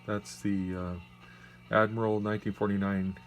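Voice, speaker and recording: A man with a low-pitched voice talks casually through a hands-on demonstration. He is plain-spoken and a little halting, feeling his way as he goes. Clear noise sits behind him, as in an amateur home video. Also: little pitch movement. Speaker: radio collector giving a demonstration. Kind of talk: casual unscripted product demonstration.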